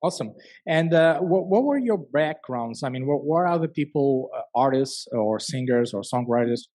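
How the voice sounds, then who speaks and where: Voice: medium pitch, expressive, moderate pace, warm tone. A show host interviewing a guest over a video call, often restarting phrases. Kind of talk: interview questions.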